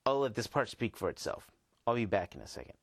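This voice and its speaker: Man, slightly low pitch, very dry sound, casual podcast host, halting emphatic phrasing. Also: very animated, no echo. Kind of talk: casual solo podcast commentary.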